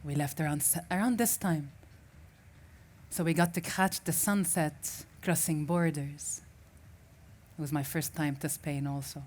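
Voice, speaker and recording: A woman's voice: low voice, a little slow, darkish tone. A woman from Syria, a native Arabic speaker, giving a reflective personal story as a festival speaker and often restarting as she searches for words.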